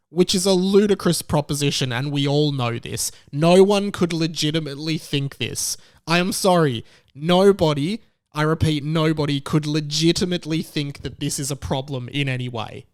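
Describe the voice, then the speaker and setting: A man's voice: medium pitch, clear, resonant tone, dry recording, studio quality. A young man with an Australian accent giving emphatic, exasperated, comically indignant commentary. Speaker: YouTube commentator. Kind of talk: ranting commentary on a news story.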